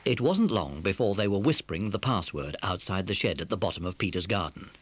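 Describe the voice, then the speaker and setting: A man's voice: deep voice, expressive, a little fast, slight background noise. A man narrating a scripted children's story as the narrator of an audio dramatisation.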